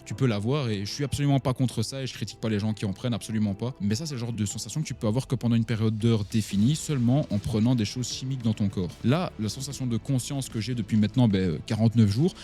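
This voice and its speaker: A man's voice: mid-range voice, fast, few pauses, warm tone, faint music behind. A man in his twenties with a Belgian French accent, talking casually and directly to his viewers about his own life. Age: 20s. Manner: chatty, addresses the listener directly, many fillers. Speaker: online entrepreneur and vlogger. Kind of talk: casual talk to camera.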